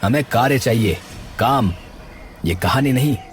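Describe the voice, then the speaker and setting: A man playing a brash, impatient young villager in an animated story, sarcastic and argumentative. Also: medium pitch, measured pace, natural voice. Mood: mocking, irritated. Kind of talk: Hindi animated story dialogue. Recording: loud background music.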